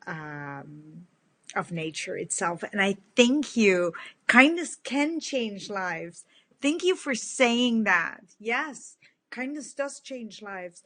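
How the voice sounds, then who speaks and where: A woman in her fifties from the Netherlands, speaking with a big booming voice in an earnest, heartfelt live-stream talk to her followers as a kindness speaker.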